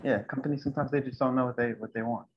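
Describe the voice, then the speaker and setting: A man's voice: mid-range voice, fairly even intonation, racing, slight background noise. A man speaks as a guest on a casual interview, giving earnest career advice as a designer and mentor. He talks loosely, restarting his sentences and leaning on "like". The audio is dull and lo-fi.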